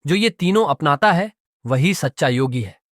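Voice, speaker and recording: A man's voice: on the high side, lively intonation, normal speed, no room sound, studio quality, natural voice. A man voicing a solemn mystical spirit in a children's story, making grave, instructive pronouncements. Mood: approving. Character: solemn mystical voice of cave, mirror and lotus. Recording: clearly noisy background.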